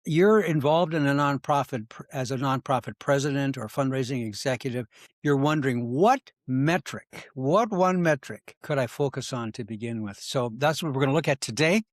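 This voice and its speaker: Man, middle-aged, podcast host, earnest and encouraging, mid-pitched voice, dry sound. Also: animated, normal speed, no room sound. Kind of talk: podcast interview.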